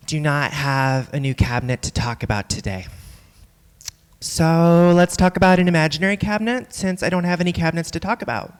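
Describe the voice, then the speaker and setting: In a reverberant theatre, a woman performs a melancholy video blogger on stage. The delivery is measured, earnest and deadpan, musing like a philosopher. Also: low-pitched, natural voice. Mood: apologetic, earnest. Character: melancholy, earnest, philosophizing online cabinet-review vlogger. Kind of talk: live staged play monologue. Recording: echoey theatre hall, live stage.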